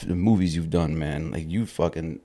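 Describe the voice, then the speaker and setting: Very deep-voiced man, laid-back host. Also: normal speed, mellow. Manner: laid-back, swears a lot, says bro and dude. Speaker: podcast host. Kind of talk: casual podcast chat.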